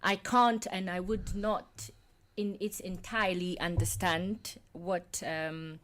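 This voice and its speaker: A woman from Ghana speaks as a podcast guest in a slightly low voice. She talks hesitantly, pausing on fillers while she works out what she means. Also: steady tone, a bit bright, slight background noise. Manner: hesitant, many fillers, searching for words. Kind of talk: casual group discussion.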